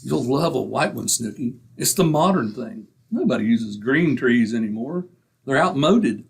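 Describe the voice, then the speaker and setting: A man's voice: mid-range voice, expressive, unhurried, creaky, slight background noise. A man acting a stubborn, penny-pinching husband in old-time radio comedy, indignant and folksy in manner. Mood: coaxing.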